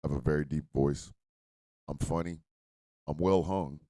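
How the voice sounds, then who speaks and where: A man voicing a boastful, smooth-talking adult man with a very deep, low voice and a smug, self-praising manner. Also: moderate pace, mellow, no echo.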